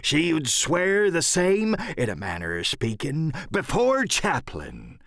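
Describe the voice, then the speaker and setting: A man's voice: on the high side, wildly swooping pitch, takes it slowly, fairly bright tone, no echo, studio quality, heavily acted. A man playing a sly, folksy old pirate sea cook who drawls in rustic sailor dialect for an audiobook radio play. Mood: mock pious.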